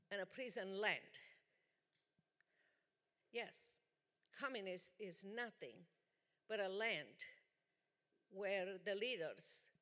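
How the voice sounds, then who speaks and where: A woman from Romania with a Romanian accent, giving an earnest, deliberate personal testimony as a guest speaker in a church. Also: mid-range voice, a bit bright. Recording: long church hall reverb.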